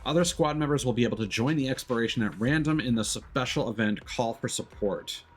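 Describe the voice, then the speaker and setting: A man's voice: medium pitch, brisk, slightly rough, soft background music. A man streaming a video game, commenting live and reading on-screen text aloud.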